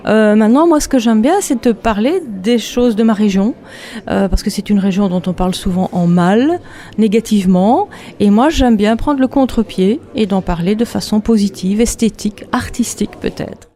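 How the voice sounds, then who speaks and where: A woman from Belgium, a textile artist chatting relaxed in an interview, laughing often between hesitant fillers. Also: mid-range voice, normal speed. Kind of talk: casual interview.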